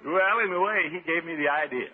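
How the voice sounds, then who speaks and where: An actor playing a pompous, excitable middle-aged water commissioner in a 1950s radio comedy, with a blustering manner. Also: male voice, a little high, fairly quick, close to an everyday voice. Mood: smug. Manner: blustering, hammy, swooping delivery. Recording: muffled 1950s radio recording, background hiss.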